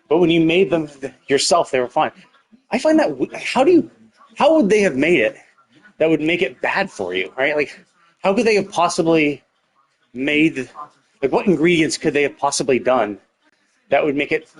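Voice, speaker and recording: A man's voice: a little high, poor audio. A man casually commentating on a game as a live streamer, rambling and thinking aloud, bluntly and with some swearing. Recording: game music behind the voice. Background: game music.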